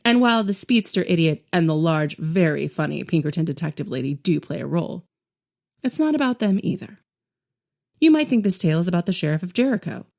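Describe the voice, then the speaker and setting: Woman as dark-voiced, gravelly western witch storyteller. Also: fairly low pitch. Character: witch preacher character narrating a supernatural western tale. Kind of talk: scripted in-character book promo narration.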